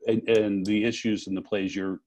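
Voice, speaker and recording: A man interviewing conversationally, halting and repeating words as he thinks. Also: fairly low pitch, flat delivery, darkish tone. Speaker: interviewer, festival co-director.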